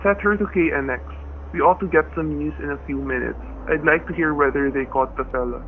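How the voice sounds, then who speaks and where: An actor playing a plainspoken middle-aged husband who narrates a suspense story in old-time radio style, with a folksy, colloquial manner. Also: male voice, on the high side, brisk, phone-quality sound, a little hiss or hum, lightly acted. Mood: easygoing. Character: weary, plainspoken middle-aged husband telling his ordeal. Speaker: narrator and lead character. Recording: dry, band-limited old radio recording.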